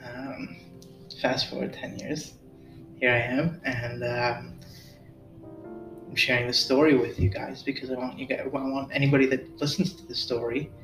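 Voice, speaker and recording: A man in his twenties tells a personal story on a podcast, halting and full of fillers, in a flat, even voice. He sits back from the mic in a roomy space. Music plays clearly behind him. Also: mid-range voice, far from the mic. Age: 20s. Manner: halting, many fillers and restarts. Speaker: podcast host. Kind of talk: personal storytelling and casual chat.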